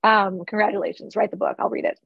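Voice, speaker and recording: A woman's voice: medium pitch, fairly even intonation, fast, gravelly, slightly rough, no room sound, lo-fi sound. A woman from the East Coast who owns a flower shop, talking candidly in a casual interview with fillers and laughs. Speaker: florist and shop owner.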